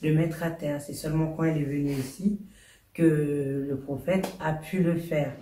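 Female testimony giver from French Guiana, hesitant, low-key timbre, distant roomy recording. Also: fairly even intonation, mellow, slight background noise. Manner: halting, with frequent "euh". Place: small meeting room. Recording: roomy sound from a far camera.

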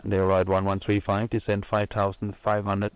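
Monotone low-voiced male air traffic controller. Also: brisk, clean tone, no room sound, highs cut off. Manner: flat, procedural. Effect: air traffic radio. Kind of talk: clipped radio instructions to pilots.

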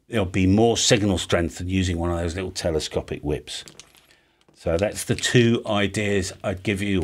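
Deep-voiced man explaining casually. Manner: chatty, casual, often checks in with "all right?". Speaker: host of a radio hobby channel. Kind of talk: informal explainer, talking through a hand-drawn diagram. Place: home music studio room, indoors.

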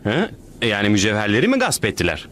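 A man's voice: lowish voice, huge pitch swings, fast. An actor voicing a big, upbeat, dim male superhero in a cartoon dub, with grandiose, theatrical delivery. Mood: puzzled. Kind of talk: animated cartoon dub acting.

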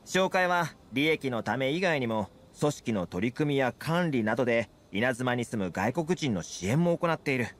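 A man voicing an easygoing, confident young fixer in a Japanese video game, talking smoothly and persuasively. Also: mid-range voice, expressive, normal speed, few pauses, slight background noise, lightly acted. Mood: easygoing, friendly. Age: young adult.

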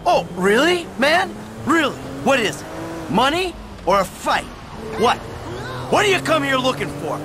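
A man's voice: extremely high pitch, big pitch swings, measured pace, noisy background, exaggerated voice. An actor playing a defensive, exasperated middle-aged retired criminal and father in a video game, sounding weary and irritable. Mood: irritated. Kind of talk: video game dramatic acting.

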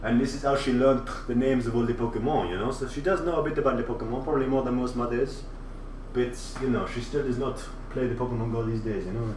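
A man's voice: mid-range voice, fairly even intonation, quick pace, darkish tone, a little hiss or hum, lightly acted. A man putting on a crude, cocky French chef. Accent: put-on French. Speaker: livestreamer. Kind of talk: casual livestream chat in character. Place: kitchen. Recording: roomy, distant mic, faint ambience.

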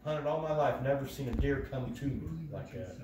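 A man's voice: medium pitch, moderate pace, background noise. A man telling stories in casual, heavily profane chat, recorded by a phone across a living room. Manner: profane, animated retelling with acted-out quotes. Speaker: storyteller. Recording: roomy, distant phone recording, background chatter.

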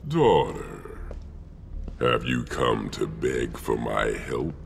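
Extremely deep, gravelly warlord titan. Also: male voice, expressive, a little hiss or hum, full character voice. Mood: cold, commanding. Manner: slow, imperious, deliberate. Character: imprisoned titan warlord, cold, commanding, sly villain father. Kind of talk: video game villain acting.

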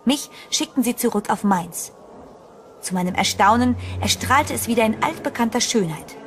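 A woman's voice: a little high, lively intonation, moderate pace, lightly acted. An actress playing a frightened young woman who narrates her ordeal in a horror audio drama, dramatic and suspenseful. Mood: tense, suspenseful. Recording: music behind the voice.

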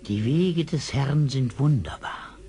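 Slow, pious psalm-singer. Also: male voice, mid-range voice, animated, warm tone. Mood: mild. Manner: slow, exalted, devout. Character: pious, unworldly psalm-singer full of praise. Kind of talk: radio play acting.

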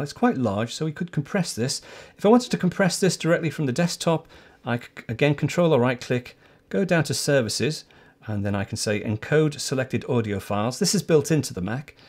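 A man's voice: mid-range voice, a little mellow. An older man of about sixty hosting a tech tutorial, explaining steps informally as he goes. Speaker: host of a tech tutorial show. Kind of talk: step-by-step software tutorial, presenting to camera. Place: small home studio office, indoors.

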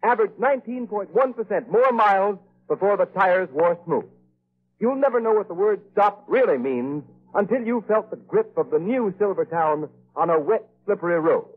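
A male announcer from old-time radio with a high, lively, husky voice. He delivers his script formally and emphatically on a tinny vintage broadcast recording.

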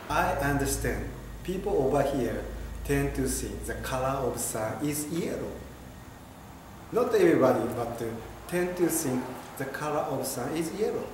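A middle-aged man from Japan with a Japanese accent, a Buddhist priest talking to the camera in simple, careful English. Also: medium pitch. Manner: simple, careful English with small grammar slips. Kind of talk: informal video talk to camera. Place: temple hall indoors, standing before an altar. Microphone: camera a few steps away. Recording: very echoey room with clear background noise.

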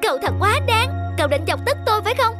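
A woman's voice: extremely high pitch, very animated, fast talker, roomy sound, pitched above the performer's own voice. A woman doing a grumpy snappish goldfish. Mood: angry. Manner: snappish, scolding. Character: grumpy irritable goldfish stuck under ice. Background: music.

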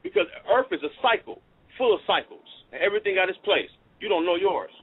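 A man's voice: sits high, sing-song, quick pace, vocal fry, slightly rough. A man in his thirties from California, calling in to a heated talk show and repeating his points insistently. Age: 30s. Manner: preachy, repeats himself insistently. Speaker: caller. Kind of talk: heated call-in argument. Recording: tinny phone line.

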